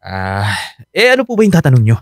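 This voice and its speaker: An actor playing a troubled, defensive young Filipino husband and son in a radio drama. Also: male voice, medium pitch, huge pitch swings, a little fast, no room sound. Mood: casual. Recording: studio drama with clear music bed.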